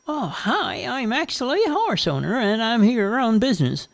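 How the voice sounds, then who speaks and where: A man's voice: very high voice, very animated, normal speed, creaky, no room sound, pitched above the performer's own voice, full character voice. A man voicing a brash woman who poses as a rich horse owner, self-important, with a thick put-on foreign accent. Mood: breezy, pushy.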